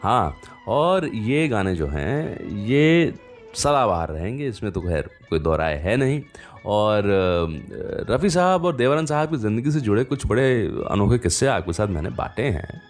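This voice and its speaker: Male radio host, lower register, conversational storyteller with unhurried pauses, faint music underneath. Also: very animated.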